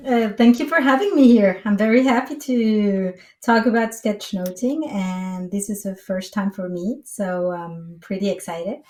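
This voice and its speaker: A woman's voice: mid-range voice, measured pace, hardly any pauses, darkish tone, slight background noise. A woman in her forties from Colombia, a native Spanish speaker with a Colombian accent, talking as an interview guest and searching for words. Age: 40s. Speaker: guest, psychologist. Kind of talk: casual interview talk. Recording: roomy echo, distant from microphone.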